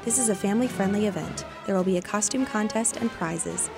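A woman's voice: medium pitch, fairly quick, vocal fry. A woman radio announcer reading a scripted community events notice. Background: music bed.

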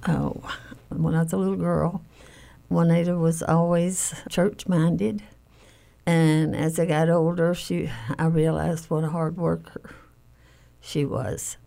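Older woman, low creaky voice, conversational reminiscing, interviewee. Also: steady tone, slow pace, warm tone. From Alabama, United States. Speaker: interviewee, family caregiver. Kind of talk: conversational recollection.

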